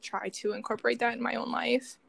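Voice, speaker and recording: A woman's voice: fairly high pitch, flat delivery, brisk, creaky, a bit bright, husky. A young adult woman co-hosting a podcast in casual, reflective conversation. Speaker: podcast co-host, doctoral student in psychology.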